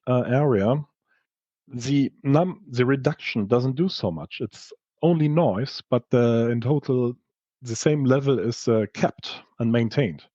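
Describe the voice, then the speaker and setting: A man presents research findings in non-native English with a soft-edged, dark and relaxed voice. His delivery is halting and explanatory, with self-corrections. The sound is close and dry, a little muffled in fidelity. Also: medium pitch, no echo. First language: not English. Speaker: researcher presenting study results. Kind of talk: technical research presentation with slides. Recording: slightly dull, dry lo-fi audio.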